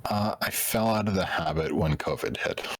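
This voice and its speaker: A man's voice: low-pitched, moderate pace, dry recording. A man from Canada, a guest on a casual stream, laid-back and blunt with short answers.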